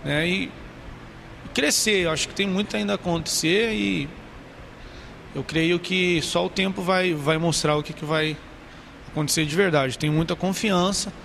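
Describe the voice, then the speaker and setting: A man in his twenties, a communication student, speaking earnestly and haltingly into a handheld mic in an empty classroom. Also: sits high, steady tone, vocal fry, fairly bright tone, slight background noise. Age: 20s. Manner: earnest, halting with repeated words. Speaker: interviewee, advertising student. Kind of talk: documentary interview.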